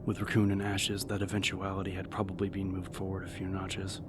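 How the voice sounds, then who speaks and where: A man reading a novel as an audiobook narrator, in a measured, serious, dramatic style. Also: deep voice, monotone, noisy background. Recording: narration over background music.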